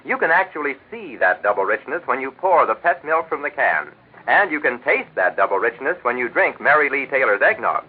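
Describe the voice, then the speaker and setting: An American man sells a sponsor's product in chatty 1940s radio style. His husky voice rises and falls freely in a folksy patter. It comes through as a narrow, phone-like old broadcast. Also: mid-range voice, sing-song, fairly quick. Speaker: radio announcer and sponsor's pitchman. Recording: vintage 1940s radio broadcast, telephone-like band.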